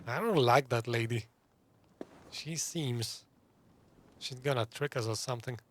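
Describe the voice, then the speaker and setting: A man's voice: medium pitch, unhurried, slight background noise. A man giving casual, chatty live playthrough commentary as a gaming streamer, reacting to the game as he goes. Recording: game ambience behind the voice. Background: video game ambience.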